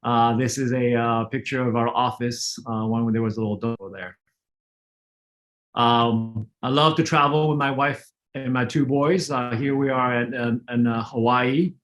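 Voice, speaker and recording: A middle-aged man from France, with no trace of a French accent, giving a relaxed online lecture, full of fillers and restarts. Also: mid-range voice, measured pace. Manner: frequent fillers and self-restarts, conversational. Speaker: optometrist lecturer. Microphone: online webinar.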